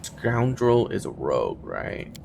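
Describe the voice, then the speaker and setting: A man with a slow, unhurried delivery and gravelly fry in his voice talks through a video game. He thinks aloud casually and hesitantly, his pitch moving around freely as he muses. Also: wildly swooping pitch, noisy background. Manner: hesitant, musing, trailing off between thoughts.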